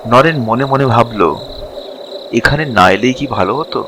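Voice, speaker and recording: A man narrating a scripted ghost story dramatically, with suspense and drawn-out pauses between short phrases. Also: medium pitch, expressive, background noise.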